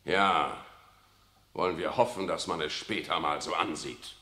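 An actor playing a worldly, cynical older cardinal who talks in an ironic, confiding way in a 1960s radio drama. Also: male voice, sits low, measured pace, bright, forceful tone, reverberant. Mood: sly. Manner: ironic, confiding, keeps adding "nicht wahr".

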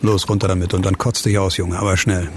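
A man's voice: deep voice, flat delivery, fast, noisy background, lightly acted. A man acting a brusque, impatient questioner in a radio crime drama, sarcastic and prodding. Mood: sardonic, impatient. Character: impatient, sardonic, brusque man pressing for answers.

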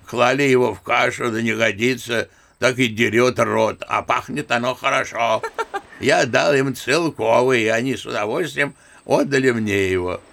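An actor playing a genial elderly general in a radio play, sighing and reminiscing like an old-fashioned storyteller. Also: male voice, mid-range voice, moderate pace, vocal fry, a bit bright. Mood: amused, animated.

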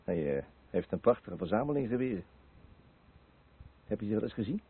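A man's voice: lowish voice, lively intonation, brisk, breathy edge, exaggerated voice. An actor playing a mild, simple, good-natured male neighbour in a naturalistic radio play, a little hesitant in speech. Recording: muffled narrow-band old radio recording.

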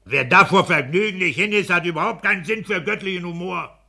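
Ein Mann spielt in einem Hörspiel der sechziger Jahre einen polternden, herrischen, pedantischen kleinbürgerlichen Ehemann und Beamten. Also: male voice, sits high, a little fast, creaky, bright, forceful tone, full character voice. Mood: mocking, boisterous. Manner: pedantic, domineering, emphatic. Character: blustering, bullying petty-bourgeois husband and civil servant. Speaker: radio play actor. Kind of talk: 1960s German radio play acting.

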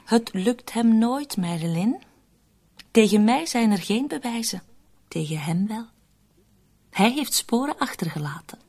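An actress playing a cunning, cold woman in a radio drama, persuasive and controlled, with a husky, often breathy voice. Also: on the high side, lively intonation, unhurried, long pauses, darkish tone, heavily acted. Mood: defiant. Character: cunning, cold, manipulative woman plotting to shift murder blame. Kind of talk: Dutch-language radio drama acting.

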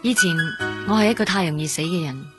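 An actress playing a gentle, fragile young woman in a radio drama, quietly candid, with a soft husky voice and a Hong Kong Cantonese accent. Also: lowish voice, lively intonation, fast, lightly acted. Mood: wistful, candid. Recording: faint music bed underneath. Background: faint music.

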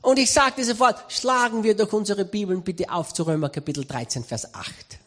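A man with an Austrian accent preaching a sermon, telling a story with humor and lively emphasis. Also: high-pitched, moderate pace. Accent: Austrian German. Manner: animated, humorous anecdotal delivery.

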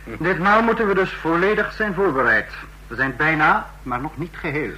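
An actor in a Dutch radio play voicing a commanding regime leader who corrects others in a measured, didactic way. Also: male voice, a little high, sharp tone, dull audio. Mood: firm, emphatic. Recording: faint hum, old radio recording.

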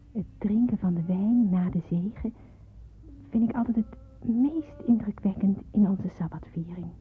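A woman's voice: medium pitch, lightly acted. Woman as earnest schoolgirl narrator, thin phone-like sound. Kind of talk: scripted first-person narration in a radio drama. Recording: thin phone-like radio drama recording.

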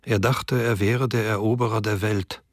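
Male diary reader, quiet and measured, dry booth. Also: fairly low pitch, fairly even intonation, dry recording. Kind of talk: scripted radio reading.

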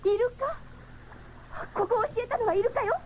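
A woman's voice: very high voice, normal speed. A woman playing an alert young female cyborg scout in a 1970s radio drama, with dramatic, feminine phrasing. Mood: tense. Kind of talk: 1970s anime-style radio drama acting. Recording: dull, noisy 1979 radio recording.

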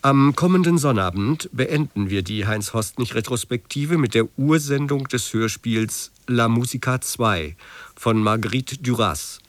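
A man reading a scripted broadcast announcement as a radio announcer, formal and measured. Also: medium pitch, no room sound. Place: dry broadcast studio.

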